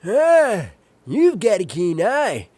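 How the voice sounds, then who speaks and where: An actor playing a warm, cryptic thrift store owner with a folksy, nostalgic, knowing way of talking in an audio comedy. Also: male voice, sits high, huge pitch swings, takes it slowly, no room sound, exaggerated voice. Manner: folksy, knowing, savouring words. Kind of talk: audio drama comedy acting.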